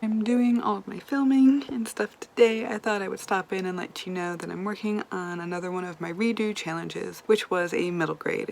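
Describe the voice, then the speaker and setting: A woman's voice: mid-range voice, gravelly, slight background noise, poor audio. A woman reviewing books in a chatty, rambling way, swearing casually and sometimes dropping to a whisper. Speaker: book vlogger. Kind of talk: casual book review chat.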